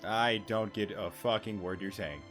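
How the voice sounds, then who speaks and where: A man streams a game with casual, musing commentary. His voice is low and informal, over faint game music. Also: steady tone, normal speed, fairly bright tone. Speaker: gaming streamer.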